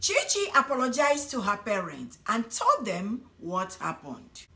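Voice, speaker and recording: A woman telling a folk tale as a storyteller, in a dramatic, expressive manner. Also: mid-range voice, unhurried. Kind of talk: scripted folk tale narration for children.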